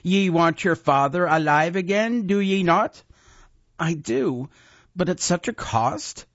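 A man voicing a gruff, ruthless old male wizard who is a talking severed head, bellowing in archaic rustic speech, on a dull lo-fi recording. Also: sits high, animated, takes it slowly, fairly bright tone, no echo, voice pushed up, exaggerated voice. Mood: gruff commanding.

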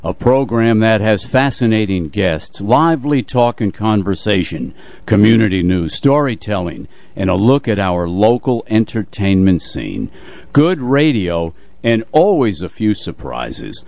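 A man's voice: lowish voice, sing-song, measured pace, a little mellow, no room sound. A man announcing a radio show in a scripted introduction.

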